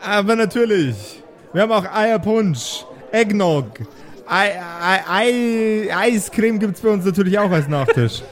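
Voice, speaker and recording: Man playing a high-pitched, eager, stammering waiter. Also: lively intonation, a little slow. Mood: eager, flustered. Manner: stammering, over-polite, punning. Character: chatty, pun-crazed male restaurant waiter, eager to please. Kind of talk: improvised pen and paper audio play.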